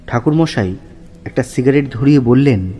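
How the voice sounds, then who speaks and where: A man narrating a scripted audio story in a husky voice. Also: mid-range voice, animated, moderate pace, warm tone, a little hiss or hum.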